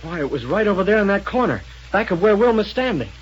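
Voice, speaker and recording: A man's voice: sits high, sing-song, fast talker, a little hiss or hum. A man playing an eager, respectful young hero in a 1930s radio space adventure. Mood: eager, alarmed. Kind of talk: 1930s radio serial acting. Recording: dull muffled 1930s radio recording.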